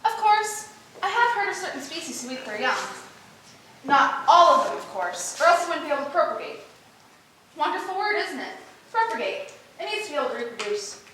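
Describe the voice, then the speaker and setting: A woman's voice: high-pitched, big pitch swings, a little fast, sharp tone, full character voice. A woman playing a vain, ditzy young actress with bubbly, emphatic chatter in a stage play rehearsal. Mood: chirpy, opinionated. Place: echoey indoor rehearsal room. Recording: very echoey room, distant mic, faint noise.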